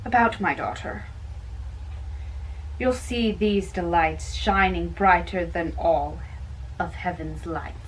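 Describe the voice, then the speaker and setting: A woman doing an amateur dramatic reading of a play, haltingly, often repeating and correcting her lines. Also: lowish voice, distant mic, a little hiss or hum, low-quality recording.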